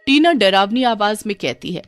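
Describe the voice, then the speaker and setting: A woman's voice: mid-range voice, lively intonation, moderate pace, soft background music. A woman narrating a scripted children's horror story.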